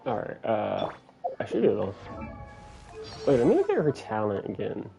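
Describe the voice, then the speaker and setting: A man's voice: fairly low pitch, wildly swooping pitch, moderate pace, creaky, warm tone, faint music behind. A man giving casual, informal commentary as he livestreams a video game, thinking aloud as he plays.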